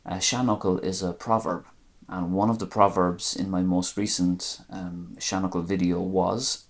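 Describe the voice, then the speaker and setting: Deep-voiced Irish man teaching phrases. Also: flat delivery. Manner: slow, careful phrase-by-phrase pronunciation. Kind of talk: language lesson to camera.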